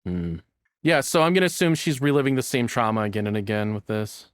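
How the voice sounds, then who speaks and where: A man's voice: lowish voice, animated, fairly quick, smooth. A man giving casual, enthusiastic reaction commentary as a streamer, swearing freely in an informal way.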